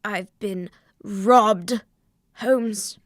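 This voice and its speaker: A woman playing an agitated wealthy gentleman, urgent and formal, in an old-fashioned detective radio drama. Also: medium pitch, drawn-out words, no echo, clean studio sound. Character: Lytton Stanley, agitated wealthy gentleman, robbed rival.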